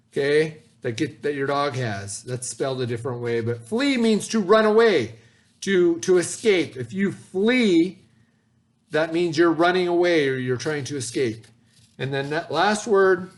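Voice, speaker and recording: A man teaching a class from home, voice sitting a little high for a man. He explains each word patiently with everyday examples and keeps checking that the kids follow. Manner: checks in often with "okay" and "right". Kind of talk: recorded classroom lesson for students at home.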